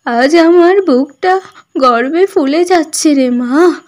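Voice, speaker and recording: A woman's voice: sits high, slow, darkish tone. A woman voicing a doting, melodramatic mother-in-law in a family drama cartoon. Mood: tearful pride. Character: proud, emotional, doting older mother-in-law.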